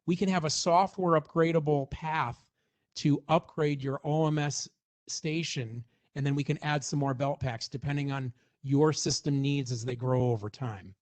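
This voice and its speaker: A man's voice: a little high, fairly even intonation, moderate pace. A man presenting a product walkthrough in an informal, explanatory way, as in a semi-scripted technical demonstration.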